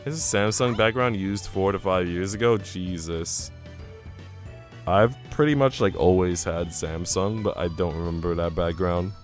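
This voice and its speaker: A man's voice: sits low, measured pace, faint music behind. A man reading a visual novel aloud on a stream, mixing narration with casual, chatty remarks to viewers. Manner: chatty asides between narrated passages. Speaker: game streamer.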